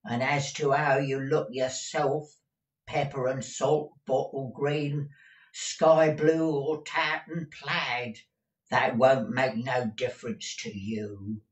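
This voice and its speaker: A man voicing a deaf, blunt, cantankerous old housekeeper woman in a storybook reading, with drawn-out querulous rustic speech. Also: medium pitch, pitched above the performer's own voice, natural voice. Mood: sharp, scornful. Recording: distant, echoey room recording.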